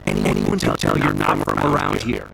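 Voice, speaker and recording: A gruff preachy townsman, crackly voice, very animated, video game with music behind. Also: extremely low pitch, normal speed, heavily acted. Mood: indignant. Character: disapproving, blustering townsman scolding young outsiders.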